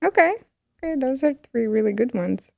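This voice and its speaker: Gravelly-voiced woman host from Russia. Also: a little high, expressive, fairly quick, a little mellow, dry recording, dull audio. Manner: chatty, encouraging, frequent backchannels. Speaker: podcast host. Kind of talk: casual interview questions.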